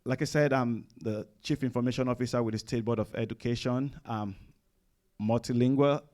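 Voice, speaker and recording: A man's voice: mid-range voice, fairly even intonation, normal speed, darkish tone. A middle-aged man from Nigeria with a Nigerian accent, giving a conversational presentation to parents in a room, with frequent fillers and restarts. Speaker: guest speaker at a parents' summit. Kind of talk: conference presentation. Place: room with a seated audience.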